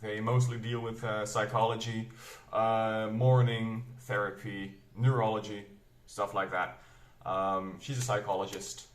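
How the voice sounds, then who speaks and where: A man's voice: on the low side, a bit bright. A man in his twenties with a Flemish accent that mixes American and British English, rambling through a casual bookshelf tour at home. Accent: Flemish, mixing American and British English. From Belgium. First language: Flemish dialect of Dutch. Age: 20s. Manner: rambling, self-correcting, unhurried. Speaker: book vlogger. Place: room at home. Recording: echoey room.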